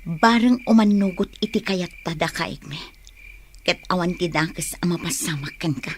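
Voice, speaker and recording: An actress playing a concerned, steady older-sister village woman who counsels in a measured tone in an Ilocano radio drama. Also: on the low side, a little hiss or hum, lightly acted. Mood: cautioning, soothing.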